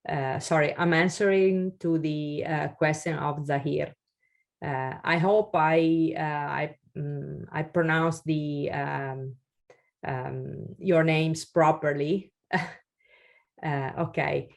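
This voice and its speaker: A woman's voice: low voice, steady tone, slow pace, gravelly. A woman giving a webinar workshop for founders and answering questions, hesitant and full of fillers and restarts, speaking over a video call. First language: Italian. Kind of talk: webinar presentation and Q&A.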